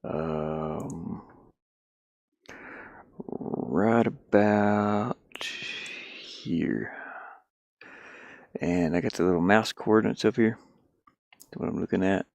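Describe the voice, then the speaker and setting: A man casually thinking out loud in an offhand, muttering way as he works through game development on screen. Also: low voice, gravelly, dry recording. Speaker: indie game developer, streamer. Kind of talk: casual think-aloud screen-share commentary while working.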